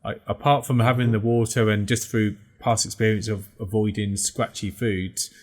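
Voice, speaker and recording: A man's voice: lowish voice, little pitch movement, normal speed. A man in his forties co-hosting a casual podcast over a video call from home, wearing a headset and explaining practical tips with some fillers. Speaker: podcast co-host. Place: home room, indoors.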